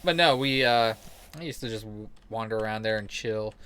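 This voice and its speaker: A man's voice: fairly low pitch, normal speed, fairly bright tone, clear, resonant tone. A man in a casual, rambling game commentary, sweary, self-deprecating and sarcastic. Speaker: game streamer telling stories while playing.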